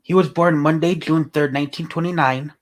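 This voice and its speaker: A man's voice: on the high side, fairly even intonation, brisk. A man around thirty chatting on a livestream, working out dates aloud and restarting his sentences. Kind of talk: casual chat.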